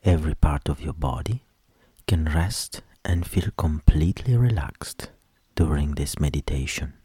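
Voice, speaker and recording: A man leading a guided meditation in a soft, soothing voice with gentle instructions that trail into whispers. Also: extremely low pitch, lively intonation, husky, dry recording. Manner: slow, gentle instructions with long pauses.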